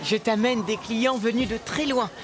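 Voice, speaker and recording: A woman's voice: a little high, normal speed, background noise, close to an everyday voice. A woman playing a friendly, eager desert boy in a French children's cartoon. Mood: cheerful. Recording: music behind the voice.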